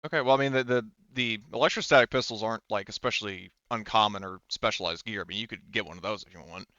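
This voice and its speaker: A man acting as game master for a tabletop roleplaying game, explaining rules and scenes in a halting, conversational way on a close microphone. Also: fairly low pitch, bright, forceful tone, no room sound, dull audio.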